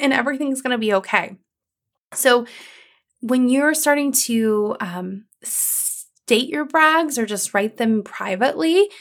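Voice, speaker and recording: A woman hosting her own podcast as a coach, talking to listeners in an upbeat, encouraging, conversational way with a calming voice. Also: on the high side. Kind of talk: solo podcast episode.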